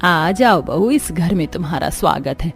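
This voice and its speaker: Woman voicing a gentle, reassuring mother-in-law. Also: fairly low pitch, animated, normal speed, soft background music. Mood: welcoming. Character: kind, patient, reassuring middle-aged mother-in-law. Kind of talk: cartoon story dialogue.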